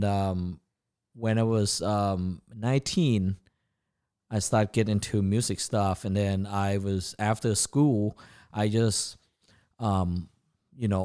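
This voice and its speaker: Low-voiced middle-aged man with a Thai accent. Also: darkish tone. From Thailand. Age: about 50. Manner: simplified non-native grammar. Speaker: podcast guest, chef. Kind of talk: casual autobiographical chat.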